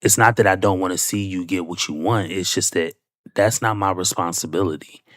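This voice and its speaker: A man's voice: low-pitched, dry recording, clean studio sound. A man hosting a reaction video, giving reflective, conversational commentary. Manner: reflective, conversational, drawn-out musing. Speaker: reaction channel host.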